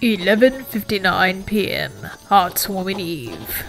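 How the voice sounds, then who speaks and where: A woman's voice: mid-range voice, expressive, unhurried, vocal fry, a bit bright, soft background music, poor audio. A woman reading short scripted captions as a narrator. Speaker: announcer of time captions.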